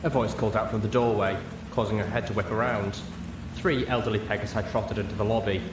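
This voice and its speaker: A man reading a story aloud as narrator, in an expressive scripted style. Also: fairly low pitch, fast. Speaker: audiobook narrator. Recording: roomy, echoey, clear background noise.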